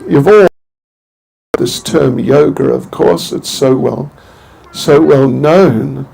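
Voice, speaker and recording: An older man from New Zealand giving an informal spiritual talk, halting and rambling, with many restarts and small chuckles. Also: medium pitch, creaky, warm tone, husky. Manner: chuckles to himself. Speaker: spiritual teacher.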